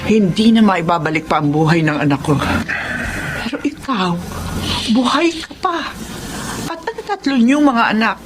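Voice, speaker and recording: A woman's voice: mid-range voice, expressive, moderate pace, long pauses, noisy background, natural voice. A woman voicing a stern but loving elderly mother-in-law in a radio drama, firmly scolding. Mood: stern urging. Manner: scolding, firm. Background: background music.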